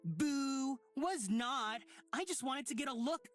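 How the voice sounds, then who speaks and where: A woman's voice: high voice, expressive, slow, soft background music. A woman voicing a mischievous, childish male troublemaker in a visual novel, teasing in a cheeky sing-song. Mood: playful pouting.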